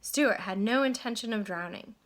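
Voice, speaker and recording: A woman teacher reading a storybook aloud to children in an expressive, guiding way. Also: a little high, normal speed. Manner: addresses children directly, guiding them with questions. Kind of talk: read-aloud lesson, expressive narration.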